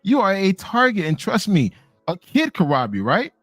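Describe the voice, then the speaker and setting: A man hosting a live talk, his mid-range voice jumping up high whenever he drives a point home. The sound is dry and close, with faint music behind. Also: huge pitch swings, normal speed, fairly bright tone, no echo. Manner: emphatic, swings up in pitch when stressing a point. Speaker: host. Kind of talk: casual live chat.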